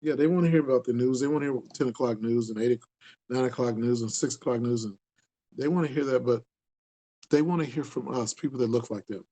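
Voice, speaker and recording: A man's voice: medium pitch, a little fast, dark tone, low-quality recording. Earnest older community leader, a man in his sixties with an Upper Midwest accent, talking loosely and repeating points. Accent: Upper Midwest American. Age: 60s. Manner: earnest, rambling, repeats himself for emphasis. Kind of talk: casual talk to camera.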